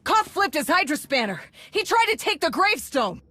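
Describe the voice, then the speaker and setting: An actress playing an excitable, indignant young crew member in a video game, agitated and exclamatory. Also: female voice, high voice, normal speed, exaggerated voice.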